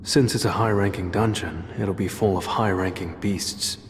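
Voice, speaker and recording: An actor playing a calm, self-assured young monster hunter in a game cutscene, speaking in a reserved, deliberate way. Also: male voice, low voice, noisy background, natural voice. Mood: measured, curious. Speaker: voice actor playing the game's protagonist. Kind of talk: English game cutscene acting with inner monologue.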